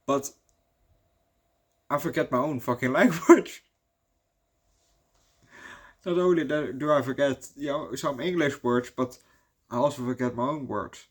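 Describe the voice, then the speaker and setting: A man's voice: medium pitch, a little slow, long pauses, slightly rough. Rambling Dutch-accented man reviewing a movie. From Netherlands. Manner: rambling, swears often, peppers talk with "you know".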